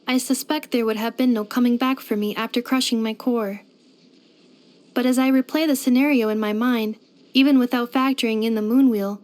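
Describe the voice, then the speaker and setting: Actress as female robot helper for a game: high voice, formal, precise, literal-minded, unfailingly polite. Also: darkish tone, natural voice. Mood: sincere, reflective. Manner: formal, precise, clipped system-report phrasing. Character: polite, helpful female robot maid companion, earnest and literal. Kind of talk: scripted video game character voice lines.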